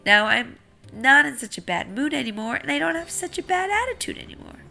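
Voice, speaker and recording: A woman reads as a grumpy, worrying seed character from a storybook. He is theatrical and exclamatory, with a bright, forceful, high voice that swings up and down. Soft music plays along. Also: sing-song, brisk, gravelly, full character voice. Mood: resolved. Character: grumpy, anxious male seed, dramatic but softening. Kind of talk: children's picture book read-aloud.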